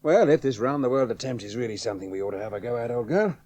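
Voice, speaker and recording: A man's voice: medium pitch, lively intonation, fast, dark tone, natural voice. A man playing a flippant, hard-drinking pilot. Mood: offhand. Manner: breezy and teasing, joking with a drink in hand. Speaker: aviator. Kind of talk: 1970s radio drama acting.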